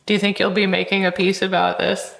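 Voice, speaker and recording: A woman's voice: mid-range voice, little pitch movement, fairly quick, vocal fry. A woman hosting an interview, asking thoughtful questions. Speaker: interviewer, podcast host. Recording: roomy, echoing sound.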